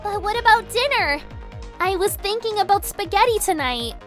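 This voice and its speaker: A naive, chatty little lady from a video game, played by a woman. Her voice is extremely high and girlish, with music faintly behind it. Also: lively intonation, moderate pace. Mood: flustered. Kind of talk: game fandub acting.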